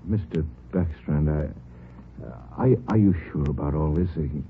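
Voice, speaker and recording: A shaken, frightened father, stammering and hesitant, in an extremely deep voice. The sound is thin and tinny, from an old radio broadcast. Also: moderate pace, slight background noise. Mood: anxious.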